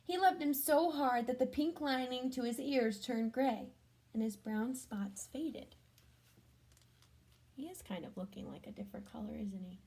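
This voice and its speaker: A woman reading a storybook aloud to children, adding gentle chatty comments and questions for young listeners. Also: high voice, a little slow, slightly rough. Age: adult.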